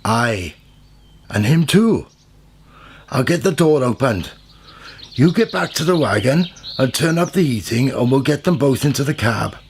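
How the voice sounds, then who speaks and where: An actor playing a blunt, chatty, grumbling road worker in a radio play, with colloquial speech. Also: male voice, medium pitch, lively intonation, measured pace, long pauses, a little hiss or hum. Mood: brisk, practical. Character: matter-of-fact, grumbling working man gritting roads.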